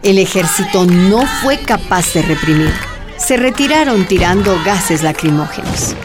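A woman's voice: fairly low pitch, animated, measured pace, music in the background. A woman reading scripted narration for a radio drama.

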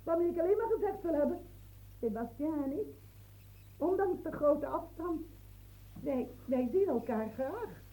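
An actress in a radio play voicing a coaxing, stammering working-class mistress. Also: female voice, high voice, lively intonation, a little slow, pauses often, dark tone, rough recording. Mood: coy flustered.

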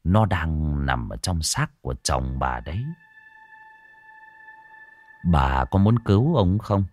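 A man voicing a stern old Buddhist monk who speaks in a solemn, deliberate way in a spoken ghost story. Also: very deep voice, lively intonation, darkish tone, no echo, faint music behind, pitched below the performer's own voice, heavily acted. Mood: grave.

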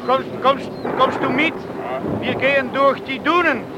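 A male actor playing a practical island rescuer in a radio play, plain-spoken and a little halting. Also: extremely high pitch, steady tone. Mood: practical, concerned. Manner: plain, practical, halting when speaking German. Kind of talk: radio drama acting. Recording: clear wind and sea noise.

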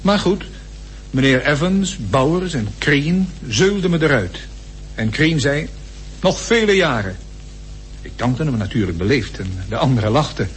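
A man's voice: mid-range voice, sing-song, measured pace, slight background noise, low-quality recording. An actor playing a hardy, good-natured British polar explorer, telling his diary in a wry, understated way for a radio play. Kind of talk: radio drama diary monologue.

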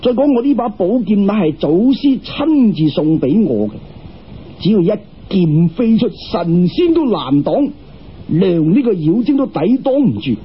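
一个男人用粤语口音扮演严肃而有慈悲心嘅道士师傅，语气似教训人又有威严，录音发闷。. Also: male voice, sits high, big pitch swings, a little slow, husky, exaggerated voice. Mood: solemn. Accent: Cantonese. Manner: lecturing, solemn, commanding. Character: stern but merciful Taoist master with flying sword. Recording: dull, muffled radio-style recording.

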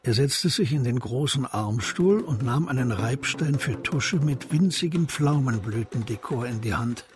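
A man narrating a scripted crime story in a measured, descriptive, literary way. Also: medium pitch, lively intonation, music in the background.

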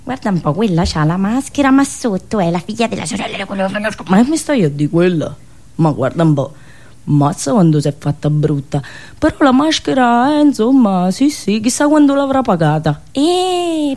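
A woman's voice: medium pitch, lively intonation, normal speed, darkish tone, close to an everyday voice. A woman voicing a gossipy, cynical village woman with colloquial, nosy, muttered asides. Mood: catty, nosy.

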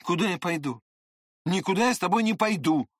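A man's voice: sits high, lively intonation, measured pace, a bit bright, no echo, studio quality, pitched above the performer's own voice, exaggerated voice. A man voicing a proud, touchy young man with a defiant, indignant manner in an audiobook. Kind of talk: dramatized audiobook character voice.

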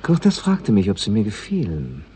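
An actor in a 1960s German radio play, playing an irritable, dry, blunt foreign visitor in middle age. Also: male voice, fairly low pitch, wildly swooping pitch, moderate pace, warm tone. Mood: probing. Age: middle-aged. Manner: blunt, impatient, sardonic. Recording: 1960s radio recording with background hiss.

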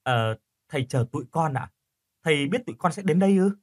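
A man's voice: fairly high pitch, lively intonation, fairly quick, pitched above the performer's own voice. A man voicing a feisty young woman in an audio story, blunt with friends and polite to elders. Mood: polite, curious.

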